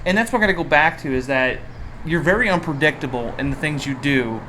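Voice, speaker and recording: A man with a slightly high voice hosts a casual chat. He is blunt and teasing, in an informal bantering style. Light ambient noise sits behind him. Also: lively intonation, fast, pushed and bright, low-quality recording. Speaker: podcast host.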